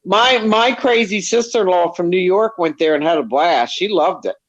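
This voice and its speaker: A man's voice: high voice, moderate pace, dull audio. A man co-hosting a call-in chat, bantering playfully and teasing the guest. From Baltimore area, US. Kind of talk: casual chat on a call-in show.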